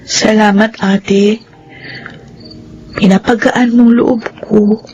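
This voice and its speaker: Woman playing lovestruck younger sister, medium pitch, pensive, hesitant, Filipino radio drama, dull lo-fi recording. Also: steady tone, slow, a little mellow, lightly acted. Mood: subdued. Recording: dull radio sound, faint noise.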